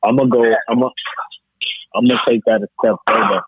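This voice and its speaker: A man's voice: medium pitch, fairly even intonation, fairly quick, slightly rough, no echo. A man talking as a DJ on a casual hip-hop discussion panel, emphatic and repeating words to drive his point home. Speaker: DJ and podcast panelist. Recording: thin, phone-like call audio.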